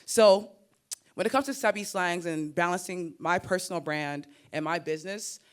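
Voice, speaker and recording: A woman's voice: fairly low pitch, normal speed. A young woman with a Nigerian-American accent, talking animatedly as a creator on a summit panel stage. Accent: Nigerian mixed with American. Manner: animated and expressive, laughs and repeats words. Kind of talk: conference panel talk.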